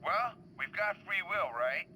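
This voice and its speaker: An eager, bright-voiced caller. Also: male voice, high-pitched, animated, unhurried, a little hiss or hum, full character voice. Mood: earnest. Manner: eager, earnest, rambling. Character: naive young male radio caller. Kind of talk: video game cutscene acting.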